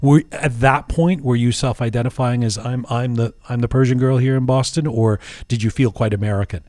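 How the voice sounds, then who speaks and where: A man's voice: mid-range voice, steady tone, brisk, a little mellow, dry recording. A man hosting an interview podcast, musing aloud with many restarts and false starts. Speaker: podcast host.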